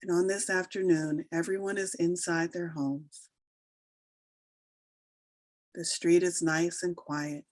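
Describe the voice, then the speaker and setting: A woman leading a guided meditation, giving soothing, unhurried instructions with long pauses between phrases. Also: lowish voice, steady tone, dry recording. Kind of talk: slow guided meditation narration.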